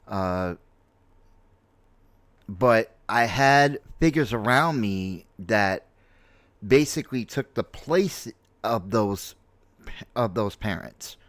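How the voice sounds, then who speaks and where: A man in his late forties from New York City with an American accent, giving an unscripted personal opinion in a halting, reflective manner and repeating himself for emphasis. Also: lowish voice, expressive, no echo. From New York City, United States. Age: late 40s. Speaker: streamer, content creator.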